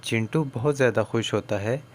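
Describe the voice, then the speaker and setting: A man's voice: medium pitch, brisk, darkish tone, slight background noise. A man reading scripted narration for a children's story as the narrator.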